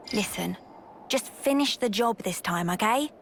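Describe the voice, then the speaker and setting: An actress playing a blunt, no-nonsense soldier in a video game, curt, sardonic and exasperated. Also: female voice, medium pitch, lively intonation, takes it slowly, fairly bright tone, a little hiss or hum. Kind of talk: video game cutscene acting.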